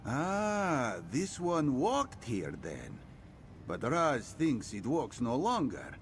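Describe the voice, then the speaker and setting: An actor playing a sly, thoughtful cat-folk scout in a video game, theatrical and musing in manner. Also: male voice, medium pitch, wildly swooping pitch, takes it slowly, a little hiss or hum. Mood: intrigued. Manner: theatrical, musing, refers to himself in third person. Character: sly, thoughtful cat-folk male scout, speaks of himself in third person. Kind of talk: video game character dialogue.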